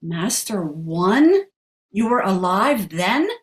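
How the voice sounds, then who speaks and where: A woman's voice: mid-range voice, big pitch swings, takes it slowly. An actress playing a sympathetic, curious woman in an audio play.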